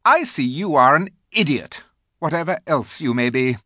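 A man's voice: on the high side, wildly swooping pitch, bright, forceful tone, no echo, clean studio sound, pitched above the performer's own voice, full character voice. Man voicing an imperious, swooping witch queen. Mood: haughty, angry. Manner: haughty, commanding, drawn-out emphatic words. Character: imperious cold witch queen, menacing, turning falsely sweet. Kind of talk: audiobook character voice.

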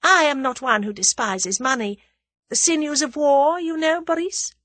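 A woman's voice: sits high, moderate pace, fairly bright tone, no echo, pitched above the performer's own voice. A woman voicing a scornful, worldly female schemer in an audiobook, with a haughty, airy, taunting delivery and cool amusement. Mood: scornful confidence. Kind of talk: dramatised audiobook character dialogue.

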